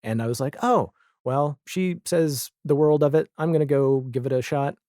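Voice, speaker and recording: A man talking casually as a podcast guest, animated and rambling, with a self-deprecating streak. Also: on the high side, moderate pace, no room sound, clean studio sound. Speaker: podcast guest, audio drama creator. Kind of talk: casual podcast interview chat.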